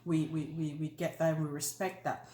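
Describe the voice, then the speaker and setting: A woman's voice: sits low, brisk. Joking Nigerian woman in an echoey room. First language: not Yoruba. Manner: animated, joking, many fillers. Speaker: co-host. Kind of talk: casual chat.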